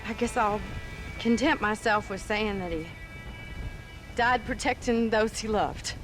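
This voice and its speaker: An actress playing a sharp-tongued, stubborn young frontier rancher woman in a dramatic video game cutscene. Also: mid-range voice, a little slow, over music, natural voice, rough recording. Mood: wry grief. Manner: sardonic, dramatic.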